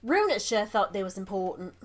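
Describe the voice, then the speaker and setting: A woman's voice: mid-range voice, sing-song, fairly quick. A woman playing a deferential, chatty young servant with homely, ungrammatical speech in an audio drama. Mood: earnest testimony.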